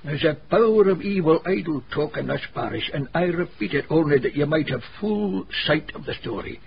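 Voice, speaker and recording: An actor playing a venerable, learned Scottish cobbler in a radio drama, telling gossipy tales in a wordy, formal dialect. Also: male voice, a little high, lively intonation, a little fast, vocal fry. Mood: gossipy storytelling. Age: older. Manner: wordy, dialect-rich, pedantic storytelling. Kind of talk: radio drama dialogue, storytelling. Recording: muffled radio broadcast, background hiss.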